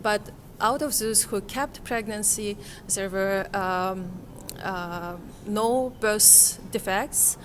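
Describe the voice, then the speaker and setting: Slow, halting woman. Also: mid-range voice, slight background noise. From Kazakhstan, Central Asia. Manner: hesitant, halting, searching for words. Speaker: interviewee, conference participant. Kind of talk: reflective interview answers.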